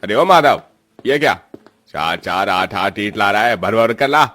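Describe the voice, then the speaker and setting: A man playing a gruff, scolding boss and landlord in a cartoon story. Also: on the low side, lively intonation, a little slow, sharp tone, soft background music. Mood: impatient, scolding. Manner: scolding, brusque. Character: bossy, gruff male overseer and threatening landlord.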